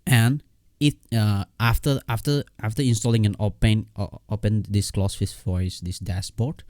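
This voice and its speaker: A man giving a casual, unscripted software tutorial in a halting, repetitive way, often checking in with his listener. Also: on the low side, fairly even intonation, no room sound, clean studio sound.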